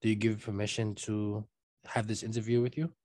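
A man interviewing over a video call for an oral history project, asking loose, halting questions with fillers. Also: on the low side, level tone, darkish tone, dry recording, clean studio sound.

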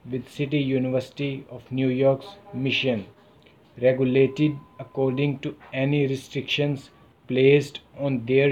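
A man interviewing for a college oral history project, reading a consent form slowly and carefully and then asking questions. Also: medium pitch, darkish tone, muffled recording, slight background noise. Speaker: student interviewer.

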